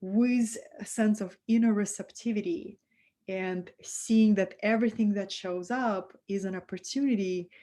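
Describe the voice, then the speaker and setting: A woman's voice: mid-range voice, fairly even intonation, unhurried. Russian-accented woman hosting a spiritual stream. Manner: soft, affectionate, reads out viewer comments. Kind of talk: live-streamed spiritual talk.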